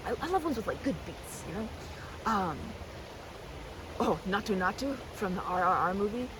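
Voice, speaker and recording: A woman with a mid-pitched, very animated voice chats away in a best-friend ASMR roleplay. She rambles earnestly, halting and doubling back on her words. Noticeable outdoor ambience runs behind her. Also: noisy background. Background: riverside park ambience.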